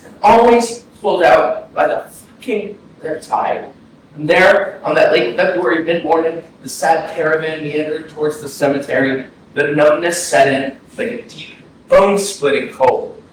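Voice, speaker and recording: A man's voice: high-pitched, natural voice. A man acting a grieving, self-conscious young man who mourns a dead friend in a poetic staged reading. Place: indoor arts center stage, recorded from afar. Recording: very echoey hall, distant, faint noise.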